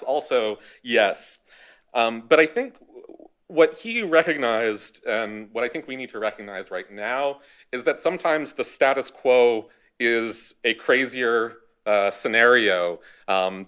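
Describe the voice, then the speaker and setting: A man speaking as a history panelist at a university event, giving a measured academic talk with a dry, wry touch. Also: mid-range voice, fairly bright tone, clear, resonant tone.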